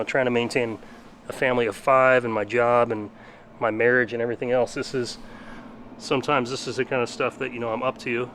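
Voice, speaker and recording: A man's voice: medium pitch, a little hiss or hum. A man in his late thirties rambling casually to his viewers about his home chores, in a chatty, self-deprecating way. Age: 30s. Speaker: car channel vlogger. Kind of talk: casual vlog. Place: backyard and garage at home.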